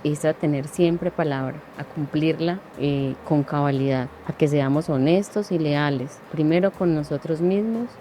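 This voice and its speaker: A woman's voice: low voice, measured pace, few pauses, warm tone, a little hiss or hum. A woman speaking a sincere, slightly hesitant recorded message to her brother. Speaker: sister of the guest.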